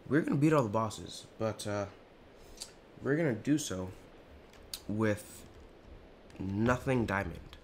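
A teenage boy with a raspy, hoarse voice, rambling casually over a Minecraft game with lots of fillers. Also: on the low side, slow, pauses often, a little mellow, slight background noise. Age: teens. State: hoarse, clearing throat. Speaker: gaming YouTuber. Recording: home recording with clear keyboard clicks.

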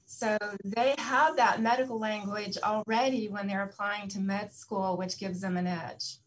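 A woman's voice: medium pitch, steady tone, unhurried, rough recording. A middle-aged woman speaks from an office over a webcam. She answers questions in an explanatory way and often repeats "in other words". There is faint noise in the background. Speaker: college program guest. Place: office indoors. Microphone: video call webcam.

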